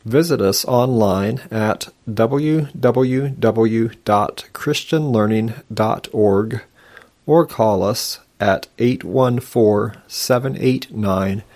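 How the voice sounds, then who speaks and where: A man's voice: on the low side, slow, a little mellow. A man reading out a closing announcement for a recording. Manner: plain, read-out delivery.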